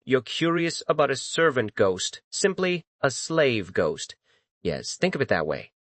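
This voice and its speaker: A man's voice: mid-range voice, big pitch swings, unhurried, a bit bright, no room sound. Man acting a smug, teasing young master. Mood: smug, amused. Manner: casual, mock-polite, taunting. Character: calm, teasing, coldly powerful young male master. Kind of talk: dramatized audiobook.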